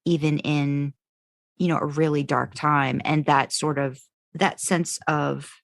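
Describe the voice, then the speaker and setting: A woman's voice: deep voice, little pitch movement, slow, vocal fry, dry recording, studio quality. A woman co-hosting a podcast, talking casually and feeling her way through her thoughts as she goes. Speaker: podcast co-host. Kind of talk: casual fan discussion chat.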